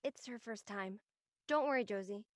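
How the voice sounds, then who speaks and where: A woman's voice: medium pitch, normal speed, no room sound, clean studio sound, natural voice. A woman playing an earnest, protective, easily exasperated young college student in an audio drama. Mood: protective, annoyed. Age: young adult. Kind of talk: acted audio drama dialogue.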